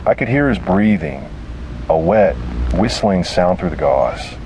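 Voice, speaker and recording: A man reads a scripted first-person horror story dramatically, in short deliberate phrases. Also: deep voice, expressive, darkish tone, slight background noise, low-quality recording.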